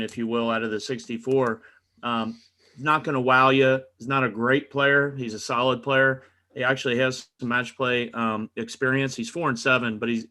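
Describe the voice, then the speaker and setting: Bright-voiced man with a slight head cold. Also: mid-range voice. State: mild cold with a runny nose. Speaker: sports picks analyst on a panel. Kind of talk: casual sports analysis.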